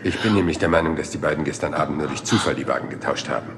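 A man's voice: rumbling low, rapid-fire, a crowd in the background. An actor playing a rumpled, polite police inspector in a crime radio play, apologetic and roundabout in his questions. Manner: apologetic, roundabout, mild and persistent.

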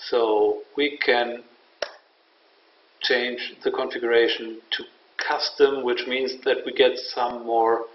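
A man giving an unscripted software tutorial in a measured, matter-of-fact and slightly hesitant way. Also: mid-range voice, little pitch movement. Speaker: software demonstrator. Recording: roomy, distant mic, dull, faint noise.

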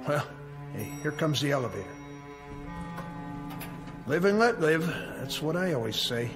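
Radio play: a jokey, gravelly worker, light pitch. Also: male voice, big pitch swings, measured pace, over music.

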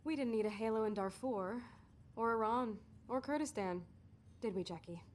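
An actress playing a confident, dismissive young soldier in a video game cutscene. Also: female voice, a little high, normal speed, slight background noise, lightly acted. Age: young adult.

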